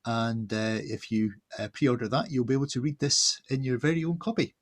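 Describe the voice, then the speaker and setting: A man with a gravelly, husky voice making informal, halting announcements as co-host of an online event. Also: medium pitch, steady tone. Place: indoors on a video call.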